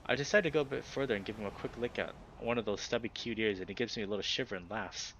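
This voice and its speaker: A man's voice: on the low side, quick pace, a little hiss or hum, poor audio. A man hosting a let's play, reading a visual novel aloud and commenting casually and expressively. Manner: expressive reactions, swears casually.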